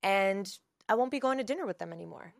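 A woman's voice: mid-range voice, expressive, fast talker, vocal fry, no echo. A woman in her twenties hosting a podcast, explaining things frankly in casual chat. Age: 20s. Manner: frank, explains the game rules. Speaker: podcast host.

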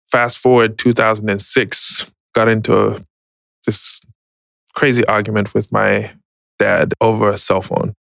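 A man tells a personal story as an interview guest, reflective and hesitant, pausing often. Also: lowish voice, flat delivery, gravelly, fairly bright tone, no echo. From Zimbabwe. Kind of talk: personal testimony, conversational storytelling.

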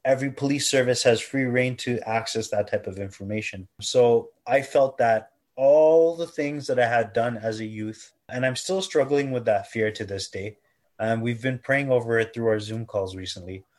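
A man in his twenties from Canada, earnestly and thoughtfully telling his own story in an interview, at times pausing to correct himself. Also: medium pitch, darkish tone. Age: 20s. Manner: earnest, measured, self-correcting. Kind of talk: reflective personal testimony.

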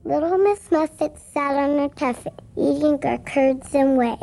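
A woman's voice: high voice, a little slow, gravelly, mellow. A woman playing a child who recites a nursery rhyme in a radio spot. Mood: reciting.

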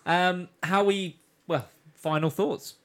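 A man's voice: high voice, fairly even intonation, takes it slowly, fairly bright tone. A man hosting a casual, jokey review chat, swearing freely as he recaps. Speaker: podcast host.

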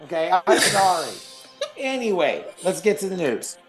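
A man co-hosting a casual wrestling podcast, hoarse from losing his voice, laid-back and swearing casually. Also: high voice, sing-song, normal speed, echoey room, faint music behind, low-quality recording. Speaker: podcast co-host. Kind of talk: casual wrestling news chat.

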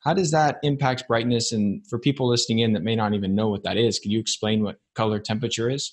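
A man hosts a podcast in a somewhat low voice, keeping the conversation loose and friendly. He sums up what his guest says in casual, everyday words. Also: fairly even intonation, quick pace, slightly rough. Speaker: podcast host. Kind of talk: casual interview.

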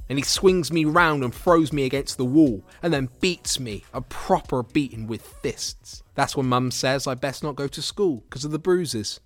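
A man's voice: on the high side, no echo, close to an everyday voice. An actor playing a wounded young homeless man in an audio drama monologue, bitter and haunted, in short broken phrases. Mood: hurt.

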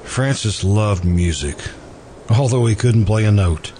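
Casting: male actor for wistful, hesitant suitor and narrator, radio drama, deep-leaning voice, lively intonation. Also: a little slow, a little hiss or hum. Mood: wistful, confiding. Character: earnest man in love with best friend's widow. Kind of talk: old-time radio drama acting, narrating.

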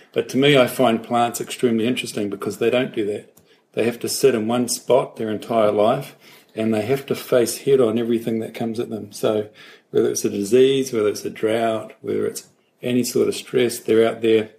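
A man with a New Zealand accent answers podcast questions as a plant scientist. His voice is lowish and gravelly, and he explains things in a calm, steady way with a faint hum of ambience behind him. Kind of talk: interview answers.

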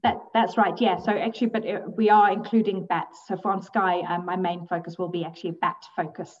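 A woman's voice: fairly low pitch, fairly even intonation, brisk, recorded from a distance, highs cut off. A woman, a guest in a casual interview, talking hesitantly with many ums and easy laughs. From grew up in South Africa. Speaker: guest, bat conservationist.

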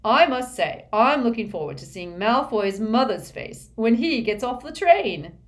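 A woman's voice: a little high, very animated, unhurried, pitched above the performer's own voice. A woman doing a pompous, smug schoolboy. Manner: smug, emphatic. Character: pompous, self-satisfied teenage schoolboy.

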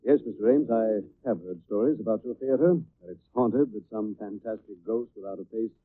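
An actor playing a composed, wealthy young amateur sleuth in a 1940s radio drama. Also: male voice, lowish voice, fairly quick, no echo, lightly acted. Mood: calm, probing. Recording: dry narrow-band old radio recording.

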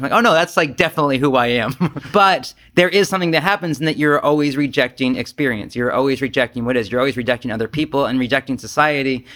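Bright, forceful man talking candidly. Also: mid-range voice. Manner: self-deprecating, profane, animated with asides and imagined quotes. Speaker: Zen teacher leading a group discussion. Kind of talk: casual, candid group talk.

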